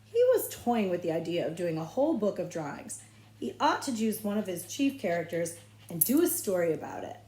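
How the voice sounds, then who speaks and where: A woman reading a children's novel aloud as a librarian, with expressive, measured storytelling phrasing. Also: lowish voice, roomy sound.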